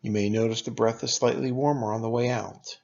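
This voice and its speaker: A man leading a guided meditation as a teacher, speaking in a calm, unhurried, instructional way with long pauses. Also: medium pitch, gravelly, lo-fi sound.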